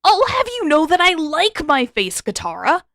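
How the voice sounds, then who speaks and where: A woman voicing a sarcastic, excitable teenage boy in a storybook, loud and emphatic with exasperation. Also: extremely high pitch, normal speed, no room sound, studio quality, voice pushed up, full character voice. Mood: indignant, scared.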